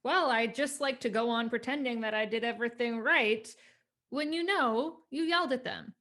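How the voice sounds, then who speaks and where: A woman's voice: a little high, moderate pace, fairly bright tone. A young adult woman hosting a casual podcast interview, talking conversationally and often affirming her guest. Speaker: podcast host.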